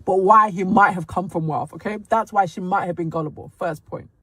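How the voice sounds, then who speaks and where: A woman's voice: fairly low pitch, brisk, fairly bright tone, husky, no room sound. Sassy woman host, dry close sound. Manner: sassy, emphatic, breaks points down. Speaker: commentary host. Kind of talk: reaction commentary.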